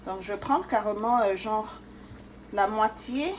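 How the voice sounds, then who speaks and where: Brief: woman cooking-channel host from Congo-Kinshasa, mid pitch, casual step-by-step chat, faint kitchen noise. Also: unhurried. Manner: chatty, step-by-step, many fillers.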